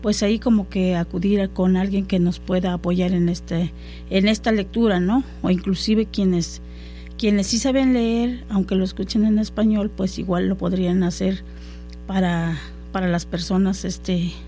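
A woman whose first language is Totonac answers interview questions in a mellow, soft-edged voice. She talks haltingly, circling back with fillers and restarts. Faint music plays underneath. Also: mid-range voice, fairly even intonation. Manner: hesitant, many fillers and restarts. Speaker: guest interviewee. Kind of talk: conversational interview.

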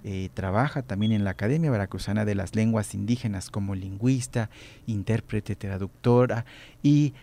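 A man's voice: on the low side, normal speed, vocal fry, warm tone. A man hosting a community radio interview, greeting listeners and asking his guest questions. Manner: greets listeners formally, affirms with short murmurs. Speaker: radio host.

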